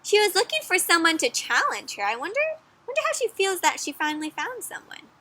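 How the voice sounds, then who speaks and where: A young woman reading a picture book aloud to children in a lively way, gasping and asking her listeners questions. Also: very high voice. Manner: expressive, asks children questions, gasps and dramatic pauses. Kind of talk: animated children's read-aloud.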